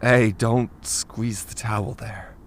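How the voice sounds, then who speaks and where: A man playing a weary, sick young guardian in a manga dub, low-key and gruffly dismissive. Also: steady tone, takes it slowly. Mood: weary, reassuring. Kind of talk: anime-style manga dub acting.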